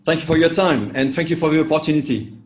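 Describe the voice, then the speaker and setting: Halting man with many fillers, echoey room. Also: on the high side. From Vietnam. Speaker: executive coach. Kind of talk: interview answers.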